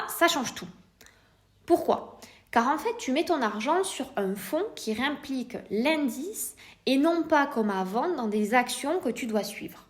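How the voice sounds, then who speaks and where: Higher-pitched French woman, explainer podcast host. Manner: didactic, informal address, rhetorical questions. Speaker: podcast host, private investor. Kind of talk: scripted explainer narration addressed directly to one listener.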